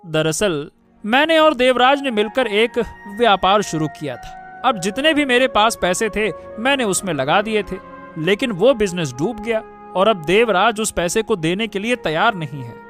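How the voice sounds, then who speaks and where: A man's voice: sits high, animated, moderate pace, fairly bright tone, faint music behind. A man voicing a troubled, apologetic village father in a cartoon story, coaxing and explaining.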